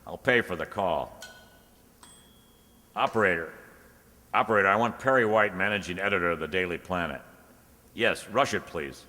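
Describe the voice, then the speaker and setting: A man playing a confident, urgent young newspaper reporter in old-time radio style, brisk and insistent. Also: low voice, sharp tone, long reverb tail, a little hiss or hum. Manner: brisk, insistent, clipped commands.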